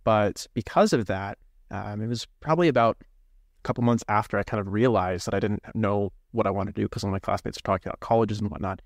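A man's voice: on the low side, a little fast, vocal fry, no room sound, studio quality. A man in his twenties, a seminarian guest, talking reflectively and hesitantly in an interview, often searching for words. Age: 20s.

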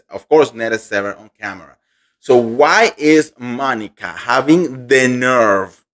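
A man dishes celebrity gossip with animated indignation, repeating words for emphasis. He talks straight into a close studio mic in a room at home. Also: medium pitch. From Colombia. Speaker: pop culture gossip host. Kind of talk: gossip commentary vlog. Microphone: studio microphone on boom arm.

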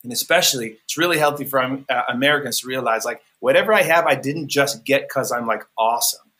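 A man's voice: medium pitch, fast, bright, forceful tone. A man from California, a musician guest on a podcast, talking earnestly and rambling with many restarts. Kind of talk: podcast interview.

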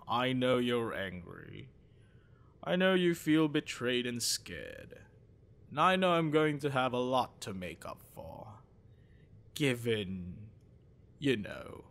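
Guy voicing a creepy obsessed young shapeshifter boyfriend, asmr roleplay, intimate and possessive, lots of vocal fry, drags words out slow. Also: mid-range voice, a bit bright, exaggerated voice.

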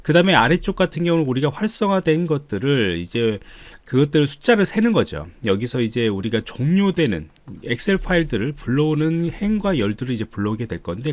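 A man's voice: mid-range voice, dry recording. A man giving a screencast coding tutorial as an instructor, explaining politely step by step. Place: indoors, voice-over on screen recording.

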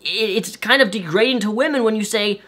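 A young man with a light, high-pitched voice, animated and exasperated, ranting to camera from his bedroom. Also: fairly quick. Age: young adult. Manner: animated, exasperated, waves hands. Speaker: video host. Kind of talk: ranting commentary vlog. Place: bedroom with shelves of toys and games.

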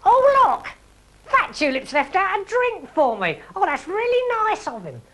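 A storyteller voicing Fred, a cheerful, bumbling male villain in a children's story, in a high, slow, silly voice. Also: wildly swooping pitch, fairly bright tone, full character voice. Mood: delighted.

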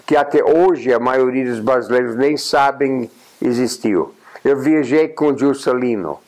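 An American man in his eighties, a native English speaker, giving an indoor interview and pausing often with "ah". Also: medium pitch, a little mellow, rough recording. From United States. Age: 80s. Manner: unhurried, pausing often with "ah" and restarts. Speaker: interviewee, journalist and honorary consul. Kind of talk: reflective interview talk. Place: indoors, plain room.

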